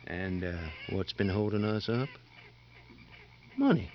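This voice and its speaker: Gravelly, scheming convict. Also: male voice, lowish voice, big pitch swings, takes it slowly, full character voice. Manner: insistent, needling. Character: scheming, impatient male convict, greedy and hard. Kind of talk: 1950s radio drama acting. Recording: dull, slightly noisy 1950s radio recording.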